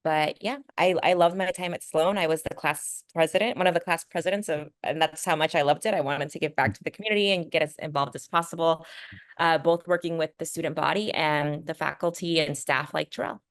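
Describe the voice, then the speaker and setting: An American woman with a low, smooth voice talks as a panelist. She sounds upbeat and earnest, and the sound is dry and close. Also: steady tone, a little fast, no echo. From California, USA. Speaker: panelist, business school alumna. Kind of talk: conversational panel answers about own experience.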